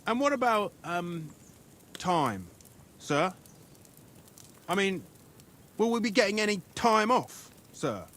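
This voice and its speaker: A bawdy young medieval soldier in a game cutscene. He is nosy and teasing, with a high, animated voice and rough everyday speech. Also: slow pace, long pauses, heavily acted. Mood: curious. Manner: teasing, colloquial. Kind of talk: video game cutscene acting.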